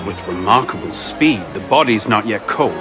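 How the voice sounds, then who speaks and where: An actor playing a defiant young avenger in a game cutscene, with a wry, challenging way of talking. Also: male voice, lowish voice, expressive, moderate pace, natural voice. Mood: accusing, sardonic. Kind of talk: video game cutscene acting. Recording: loud music behind the voice.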